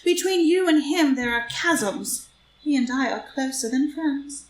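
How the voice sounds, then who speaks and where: Lady playing an arch menacing society schemer, kinda high voice, stage play read in an echoey room. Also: animated, unhurried. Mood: triumphant, taunting. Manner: arch, taunting, theatrical delivery. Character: scheming, amused, menacing society woman blackmailer. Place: indoor room, live group reading. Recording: roomy live group reading, faint noise.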